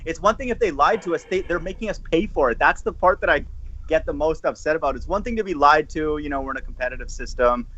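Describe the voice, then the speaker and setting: A man's voice: on the high side, fast talker, bright, forceful tone, muffled recording. A man chatting casually and emphatically as an opinionated panellist on an online call. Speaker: podcast panellist. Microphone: online group call microphone.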